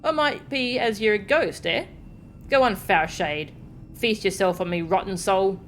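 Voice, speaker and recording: A woman's voice: medium pitch, measured pace, a little hiss or hum, natural voice. A woman voicing a bitter, self-pitying male craftsman in a video game, grumbling in crude colloquial speech. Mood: bitter, despairing. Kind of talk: reading video game character dialogue. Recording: game music behind the voice.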